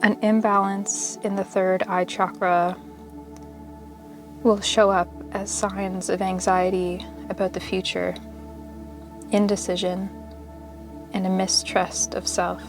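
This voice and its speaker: A woman leading a guided meditation in a soothing, measured, unhurried manner, pausing between short phrases of instruction. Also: mid-range voice, little pitch movement. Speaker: yoga nidra meditation guide. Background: meditation music.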